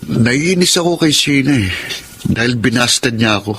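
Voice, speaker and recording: An actor playing a cocky, evasive young playboy son with a Filipino accent and a brash manner in a radio drama. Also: male voice, mid-range voice, expressive, moderate pace, fairly bright tone, a little hiss or hum. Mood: sulky. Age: young adult. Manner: brash, self-justifying. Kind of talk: Tagalog radio drama acting.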